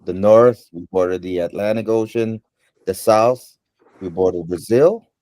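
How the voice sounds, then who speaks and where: A man from Suriname whose first language is Dutch, answering interview questions in an unhurried way, with many ums and pauses. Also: fairly low pitch, warm tone, no room sound.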